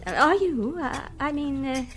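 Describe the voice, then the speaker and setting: A woman's voice: high voice, animated, measured pace, a little hiss or hum. A woman playing an eager, impressionable society lady in a radio comedy, upper-class English, breathless and gushing. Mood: flustered, fascinated. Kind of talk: radio comedy drama acting.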